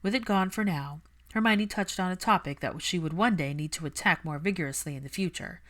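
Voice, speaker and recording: A woman's voice: fairly low pitch, fairly quick, a bit bright. A woman narrating fiction as an audiobook reader, in a scripted storytelling style.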